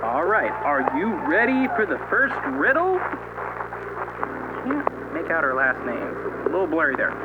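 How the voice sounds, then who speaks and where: An actor playing a manic, surreal host of a kids' riddle show on analog horror TV, showy and mocking. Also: male voice, a little high, huge pitch swings, normal speed, very noisy. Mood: condescending, then fumbling. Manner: showy, mocking, swooping delivery. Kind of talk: theatrical retro TV presenting, analog horror. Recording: muffled VHS broadcast under loud music.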